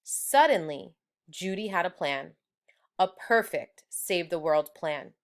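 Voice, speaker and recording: Unhurried woman reading a children's book. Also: lowish voice, lively intonation. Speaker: read-aloud storyteller. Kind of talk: children's book read-aloud.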